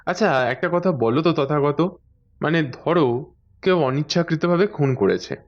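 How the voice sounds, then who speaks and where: A man playing a composed, probing detective in an audio drama, deliberate and thoughtful as he questions. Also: fairly high pitch, animated, highs cut off, close to an everyday voice.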